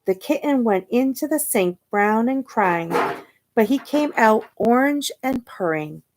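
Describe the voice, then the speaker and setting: A woman reading a children's picture book aloud expressively, pausing to ask her young listeners questions and point things out. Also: a little high, lively intonation, background noise. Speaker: storytime reader and teacher.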